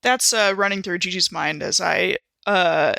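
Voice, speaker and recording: A woman playing a tabletop roleplaying game in casual chat, playfully thinking aloud with halting phrases. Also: fairly low pitch, vocal fry, bright, forceful tone, no echo. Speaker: player in an actual-play game show.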